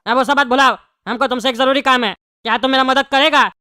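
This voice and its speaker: A high-voiced performer playing a hot-tempered, scheming bald father in jail, scolding in Bihari-style Hindi for a comedy cartoon. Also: fairly even intonation, fast, shouting, natural voice. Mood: insistent. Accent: eastern Indian (Bihari-style) Hindi. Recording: clearly noisy background.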